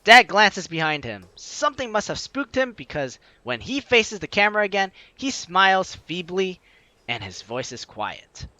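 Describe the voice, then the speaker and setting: Bright, animated man reading a game aloud. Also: sits high, moderate pace, no room sound. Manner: dramatic reading, slipping into offhand comments. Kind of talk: expressive story narration with casual asides.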